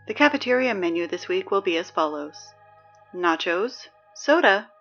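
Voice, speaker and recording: A woman's voice: medium pitch, animated, normal speed, a bit bright, muffled recording, soft background music. A woman playing a dry, sarcastic teenage girl who reads the school announcements in an audio drama. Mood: wry.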